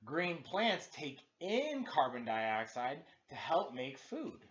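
A man in his thirties reading a children's storybook aloud as a teacher, with exaggerated, expressive emphasis, recorded indoors at home. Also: on the high side, slow, muffled recording. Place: living room, indoors.

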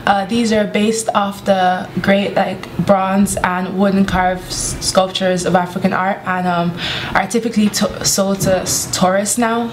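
Earnest teenage schoolgirl describing things, steady mid voice, faint background noise. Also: medium pitch, unhurried, hardly any pauses. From Nigeria family, lives in Ireland. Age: teens. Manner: earnest, explanatory, some ums. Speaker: student presenter. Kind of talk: explanatory school presentation. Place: school library, indoors.

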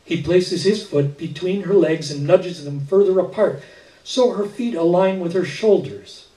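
Older guy reading a short story at a mic, soft mellow tone, echoey and a bit far off. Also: high-pitched, measured pace. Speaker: reader at a literary event. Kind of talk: literary short story read aloud. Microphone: stand mic with speakers, recorded by far camera. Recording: echoey room, distant camera pickup.

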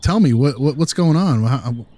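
A man's voice: mid-range voice, lively intonation, normal speed, a loud crowd. A man in an improvised role-play as a consulting analyst, talking casually and asking probing questions.

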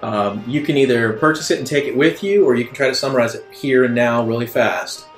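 A man with a mid-pitched voice works as game master, improvising narration in a halting, conversational flow. He sounds like he is in an echoey room, with guitar music underneath. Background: soft guitar music.

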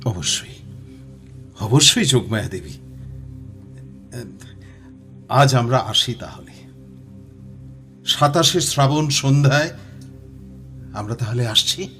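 Courteous, inquisitive ghost investigator. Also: male voice, mid-range voice, animated, unhurried, long pauses, a bit bright, soft background music. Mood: quiet, courteous. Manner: polite, formal, inquisitive. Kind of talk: Bengali horror audio drama acting.

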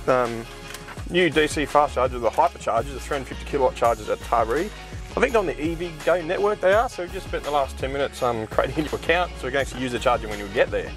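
A middle-aged Australian man narrating a casual road trip vlog from inside his car, chatty and loose, with asides and fillers. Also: on the high side. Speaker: EV vlogger. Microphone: dash-mounted camera. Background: background music.

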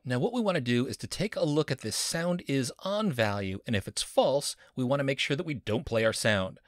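A man's voice: medium pitch, animated, a little fast. A man teaching a screen-recorded coding lesson as a university instructor, upbeat and playful with jokey wordplay.

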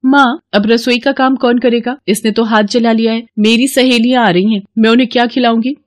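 A woman voicing a spoiled, conniving young sister-in-law in an animated moral story, with a pert, whining manner. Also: on the high side, brisk, voice pushed up, natural voice.

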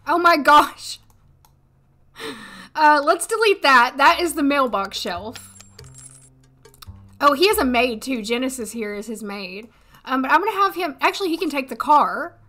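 A woman in her thirties, hoarse today, chatting casually and thinking aloud as she streams a life-sim game from a desk at home. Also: high-pitched, lively intonation, a little slow, pauses often, sharp tone. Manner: chatty, thinking aloud, frequent little laughs. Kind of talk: casual gameplay commentary. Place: home room, seated at desk.

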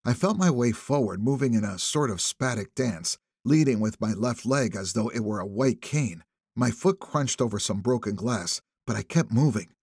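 Man, audiobook thriller narration, raspy edge, lively intonation, suspenseful, dry recording. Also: medium pitch, moderate pace, no echo. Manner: expressive, suspenseful phrasing. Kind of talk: dramatic first-person thriller narration.

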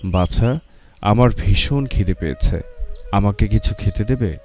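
A humble, hungry wanderer, put on by a man with a low voice and a thankful temper, as in a children's storybook. Music runs underneath. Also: lively intonation, unhurried, a little mellow. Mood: pleading. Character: hungry stranger, humble, thankful, blessing the giver. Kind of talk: storybook character voice.

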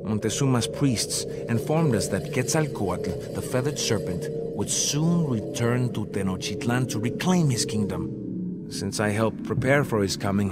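Male actor as proud Aztec warrior, solemn measured storytelling, widely varied intonation, game cutscene narration, music underneath. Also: mid-range voice, lightly acted. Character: proud Aztec jaguar warrior recounting ominous events. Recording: music behind the voice.

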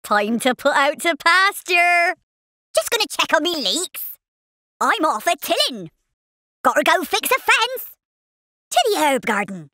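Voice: very high voice, very animated, a little slow, long pauses, pushed and bright, no echo, heavily acted. A performer voicing a cheeky, easily riled cartoon farmer worm for a video game, with a rustic English West Country accent and exclamatory delivery. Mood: contented. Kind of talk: exaggerated video game voice barks.

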